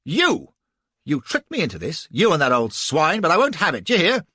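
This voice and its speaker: A man's voice: high voice, huge pitch swings, a little slow, pushed and bright, slightly rough, no echo, voice pushed up, exaggerated voice. A man voicing a cowardly young English officer in an audiobook, frantic, blustering and pleading. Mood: panicked. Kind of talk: audiobook character voice.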